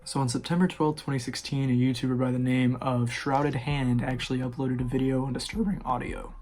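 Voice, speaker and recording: Guy doing casual scary story narration for his channel, average pitch, talks right to viewers. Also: flat delivery, brisk, a little mellow. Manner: informal, addresses viewers directly. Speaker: horror story YouTuber, host.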